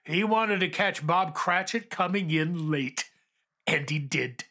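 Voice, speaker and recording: A man's voice: fairly high pitch, takes it slowly, pushed and bright, full character voice. A man narrating a radio drama in a theatrical storytelling style.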